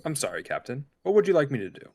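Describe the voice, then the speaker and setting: A man plays a detached, deferential male officer aboard a spaceship. He talks fast in clipped lines, with a pitch that moves around a lot, in a sci-fi script reading. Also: big pitch swings, a little mellow. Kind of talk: scripted sci-fi table read.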